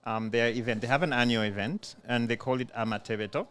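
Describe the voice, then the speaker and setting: A man's voice: mid-range voice, quick pace, fairly bright tone, clear, resonant tone. An easygoing male podcast co-host chatting on location in a community centre. He talks loosely, with frequent ums and repeated words as he gathers his thoughts. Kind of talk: casual podcast chat.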